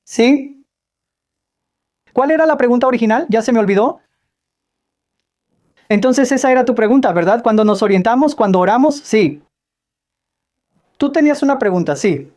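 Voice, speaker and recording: A woman's voice: fairly high pitch, lively intonation, moderate pace, pauses often, a little mellow. A woman giving a question-and-answer lecture, explaining patiently and quizzing her listeners with light jokes. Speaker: speaker answering audience questions on Islam. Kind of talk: Q&A lecture in Spanish voice-over.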